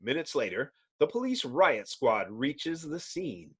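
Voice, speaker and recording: A man's voice: mid-range voice, sing-song, a little slow, slightly rough. A man giving a dramatic comic-book narration in a theatrical, suspenseful and emphatic manner. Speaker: narrator, reader.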